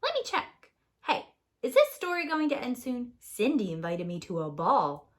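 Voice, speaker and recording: A woman's voice: on the high side, huge pitch swings, normal speed. A woman voicing a whiny, reluctant fairy-tale boy who complains and talks back in a children's storybook. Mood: pleading. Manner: complaining, protesting, exclaiming. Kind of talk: children's storybook read-aloud.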